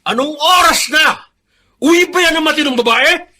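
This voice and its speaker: Mean short-fused dad in a radio drama, real high voice, always accusing. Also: male voice, normal speed, over music. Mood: furious. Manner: accusing, scornful. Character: harsh, hot-tempered father and driver.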